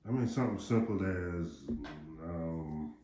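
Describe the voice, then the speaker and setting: A middle-aged American man with a deep voice, chatting casually in a rambling, plain-spoken way as a parent giving advice. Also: animated, unhurried, dark tone. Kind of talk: casual chat. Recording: roomy echo, faint background noise.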